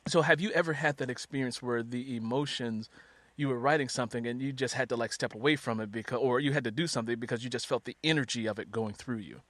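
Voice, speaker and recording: A man's voice: medium pitch, fast talker, a bit bright, no echo. A man interviewing as a host and fellow author, chatty and conversational, sharing his own experience as he leads into questions. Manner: chatty, illustrates questions with personal anecdotes.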